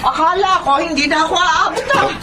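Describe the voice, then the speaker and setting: A woman's voice: very high-pitched, fairly quick. An actress playing a proud, brusque middle-aged mother in a radio drama, emphatic and bossy. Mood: bustling, fond. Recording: noisy ambience behind the voice.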